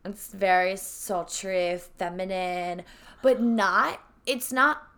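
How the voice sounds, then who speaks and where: A woman's voice: mid-range voice, slow pace, fairly bright tone, smooth. A woman in her twenties co-hosting a fashion podcast, chatting in a bubbly, enthusiastic, slangy way. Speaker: podcast co-host. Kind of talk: casual chat.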